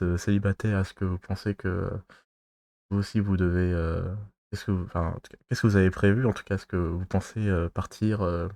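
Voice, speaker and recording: Low, gravelly man rambling haltingly. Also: dark tone, no room sound, clean studio sound. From France. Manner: halting and rambling, with constant filler pauses; loses his thread mid-talk. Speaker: vlogger giving dating advice. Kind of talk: casual to-camera monologue.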